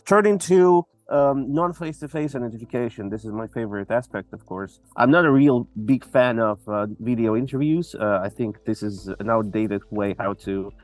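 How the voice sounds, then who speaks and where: A man interviewing a guest, asking lively questions. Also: mid-range voice, moderate pace, hardly any pauses, soft background music.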